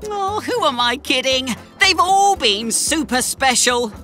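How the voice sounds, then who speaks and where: A woman's voice: sits high, animated, slow, bright, forceful tone, soft background music, heavily acted. A woman voicing a cheeky, cheerful young male steam engine in a children's cartoon, bubbly and playful. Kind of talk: children's animated series voice acting.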